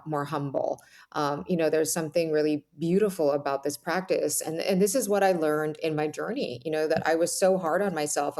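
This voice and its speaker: A woman's voice: sits low, darkish tone. An American woman speaking as a podcast guest, reflecting earnestly and explaining her points in a conversational interview style. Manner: earnest, explanatory, often checks in with "right?" and "you know".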